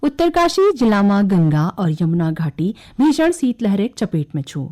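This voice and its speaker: A woman's voice: mid-range voice, very animated, moderate pace, dark tone. A woman with a Kumaoni accent reading a scripted regional radio news bulletin.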